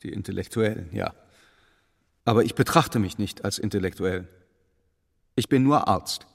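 An actor playing a reserved, idealistic doctor of the Enlightenment in a radio play, speaking in a measured and quietly firm way. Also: male voice, fairly low pitch, vocal fry. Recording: long reverberant hall.

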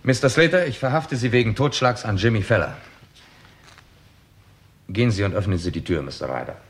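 A male actor plays a shrewd British police detective in a 1960s German radio crime drama. His voice sits low, and he speaks formally and with measured authority. He probes and lectures with deliberate, commanding phrasing. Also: lively intonation, close to an everyday voice.

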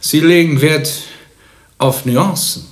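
An actor playing a cultured, world-weary pathologist in a German radio play, speaking with deliberate, dry irony. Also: male voice, on the high side. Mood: musing, ironic. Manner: deliberate, dryly ironic. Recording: echoey, reverberant room.

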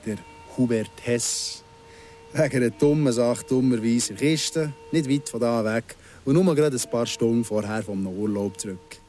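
Man to play bitter Swiss German ex-con, radio play: sardonic, deliberate, quietly menacing, music under. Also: medium pitch, close to an everyday voice. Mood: bitter recounting. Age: middle-aged. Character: embittered ex-convict in his thirties, menacingly insistent. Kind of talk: radio drama acting. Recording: music behind the voice.

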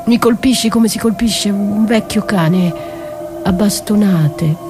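A woman's voice: mid-range voice, lightly acted. A woman performing a dramatic radio theatre monologue as a battered wife, wounded, accusing and defiant, with intense delivery. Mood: bitter, wounded. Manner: slow, intense delivery swinging from whispers to cries. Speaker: stage actress performing a solo monologue. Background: music.

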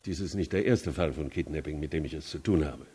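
An actor playing a composed, matter-of-fact man in a radio play, speaking huskily and deliberately. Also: a bass voice, warm tone, slightly rough, full character voice. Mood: calmly explaining. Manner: deliberate, explanatory. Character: composed male family lawyer, measured and matter-of-fact.

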